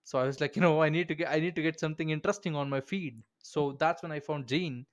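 A man's voice: fairly high pitch, fast talker. A man hosting a podcast interview over a video call, chatting informally with lots of fillers and chuckles. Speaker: podcast host. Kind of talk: casual interview chat.

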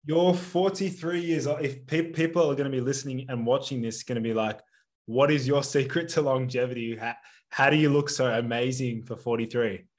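Male podcast host, mid-pitched, relaxed questions full of likes and ums. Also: a little fast. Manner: loose, filler-heavy questioning. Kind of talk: casual interview questions.

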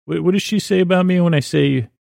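A man hosting a sleep podcast, rambling gently with many fillers and restarts. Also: sits high, fast talker, gravelly, no room sound, clean studio sound. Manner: soft and gentle. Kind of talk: rambling bedtime podcast intro.

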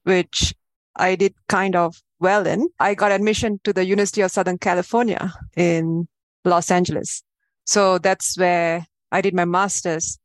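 Woman from malaysia, 30s, guest on a podcast, explains things calmly, dry sound. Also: fairly low pitch, no echo. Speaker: guest, AI advisor. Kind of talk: interview answers.

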